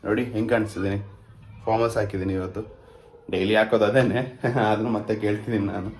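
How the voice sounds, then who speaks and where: A man's voice: lowish voice, steady tone, fast talker, darkish tone, a little hiss or hum. A man with a Karnataka Kannada accent chatting informally to viewers as a vlogger. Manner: informal, addressing viewers as guys. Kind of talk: casual vlog chat.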